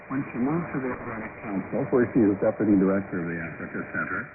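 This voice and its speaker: A man's voice: fairly low pitch, expressive, quick pace, narrow, tinny audio, background noise. A man narrating a scripted radio news report as a reporter, heard over a shortwave broadcast.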